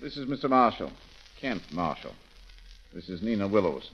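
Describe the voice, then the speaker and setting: An actor playing a smooth-talking, businesslike shop boss, polite and matter-of-fact, in 1930s radio romance style. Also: male voice, fairly low pitch, sing-song, moderate pace. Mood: businesslike, persuasive. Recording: muffled, crackling 1930s radio recording.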